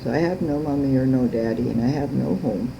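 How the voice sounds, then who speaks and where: A woman's voice: rumbling low, fairly quick, gravelly, dull audio. Old lady doing a teeny innocent little boy for a bedtime story, sing-songy, noisy tape. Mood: trusting, playful. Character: tiny chirpy orphan boy, thumb-sized, trusting. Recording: noisy amateur home recording.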